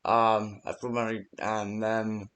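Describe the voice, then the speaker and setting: A man with a slightly low voice talks slowly, as if leaving phone messages for a game. His delivery is casual and unpolished, full of hesitant pauses and ums as he rambles along. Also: flat delivery, fairly bright tone. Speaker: phone-call guide in a fan horror game. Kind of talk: improvised game phone-call voice lines.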